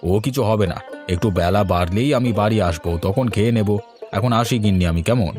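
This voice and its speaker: A man's voice: lowish voice, quick pace, a little mellow, soft background music, natural voice. A man voicing a dutiful, mild village farmer, a loving son and husband in a cartoon, with a coaxing, homely manner. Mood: reassuring. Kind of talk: Bengali cartoon dialogue.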